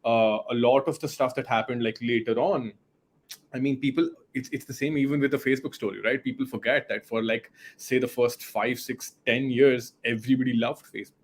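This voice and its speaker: A man in his late twenties, a guest on a casual podcast, talking with quick, enthusiastic bursts. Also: medium pitch, steady tone. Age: 20s.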